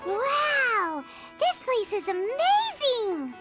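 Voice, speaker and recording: Childlike game fairy, very high squeaky voice, animated and exclamatory, loud music underneath. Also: female voice, drawn-out words, heavily acted. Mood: amazed. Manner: exclamatory, curious. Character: tiny excitable childlike floating fairy companion. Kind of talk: video game voice acting.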